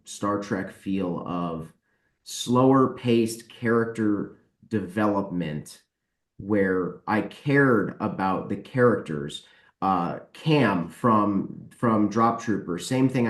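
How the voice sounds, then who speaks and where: A man chatting casually and candidly as a podcast guest, giving emphatic opinions. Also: lowish voice. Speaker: podcast guest, book publisher and author. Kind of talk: casual opinionated panel chat. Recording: roomy, echoey sound.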